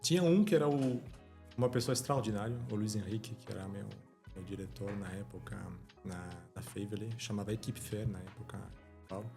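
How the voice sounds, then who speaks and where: A man's voice: on the low side, brisk, dark tone, soft background music. A middle-aged man from France, a podcast guest talking in a casual chat, earnest and emphatic, often repeating words as he speaks. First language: French.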